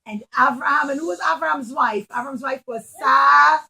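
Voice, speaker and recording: A woman's voice: high voice, moderate pace, creaky, pushed and bright, slight background noise. A woman preschool teacher telling a story to young children in simple, emphatic phrases, often putting questions to them. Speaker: preschool teacher giving a puppet show.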